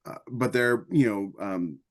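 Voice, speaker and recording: A man's voice: fairly low pitch, unhurried. A man speaking casually as a podcast host and author, in a rambling, conversational storytelling way.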